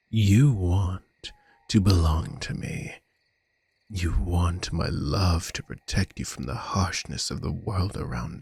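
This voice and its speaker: Man acting as lovestruck male vampire, slow unhurried delivery, very husky and breathy, dry close sound, florid courtly menace, romantic roleplay audio. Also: sits low, no room sound, exaggerated voice. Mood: yearning, intense. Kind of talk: scripted romantic audio roleplay, intimate monologue.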